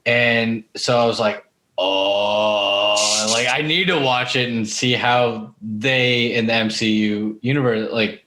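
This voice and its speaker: A man's voice: mid-range voice, a bit bright. A man hosting a podcast in a casual, conversational interview style. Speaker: podcast host.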